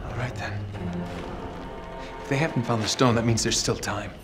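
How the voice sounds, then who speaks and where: A man's voice: mid-range voice, steady tone, moderate pace, over music, lightly acted. An actor in his thirties playing a cocky, sardonic young treasure hunter in a cinematic video game. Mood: intent, scheming. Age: 30s. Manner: sardonic, conversational.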